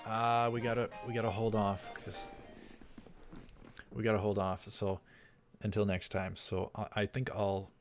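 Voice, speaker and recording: Low-toned man hosting a let's play. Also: gravelly. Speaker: let's play host and reader. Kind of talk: visual novel read-aloud with casual asides.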